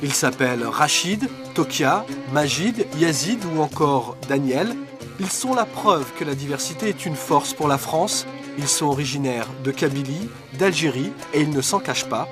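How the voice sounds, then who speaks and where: A man reading a documentary voice-over in a measured, earnest way. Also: on the high side, animated. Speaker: documentary narrator. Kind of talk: scripted voice-over narration. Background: music.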